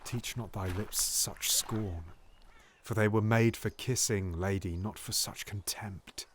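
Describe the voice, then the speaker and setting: A man playing a scheming, silver-tongued nobleman in a Shakespearean audio drama, theatrical and ranging between hushed pleading and loud exultation. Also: lowish voice, fairly even intonation, slow, a little hiss or hum. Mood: ardent pleading.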